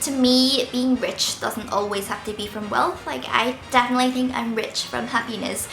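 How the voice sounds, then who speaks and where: Woman vlogger in her twenties, squeaky and slightly husky from a sore throat, bright tone, roomy echo with faint music underneath. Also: fairly even intonation, normal speed. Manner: chatty, playful, giggly. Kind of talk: casual Q&A vlog. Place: indoors at home, seated by window light.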